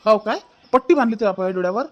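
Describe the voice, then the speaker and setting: Casting: high-voiced young village husband acted by a man, Varhadi Marathi accent, irate and scolding, for a cartoon comedy. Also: rapid-fire, highs cut off, close to an everyday voice. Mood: angry, indignant. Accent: Varhadi Marathi from Maharashtra. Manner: scolding, repeats his questions. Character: angry young husband defending his mother and home. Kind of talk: hobby cartoon comedy dialogue.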